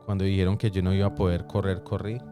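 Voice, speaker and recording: A man giving a personal testimony in conversation, speaking reflectively with halting pauses. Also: low voice, flat delivery. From Colombia, raised in New York from age four. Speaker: podcast guest. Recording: roomy echo, faint music behind.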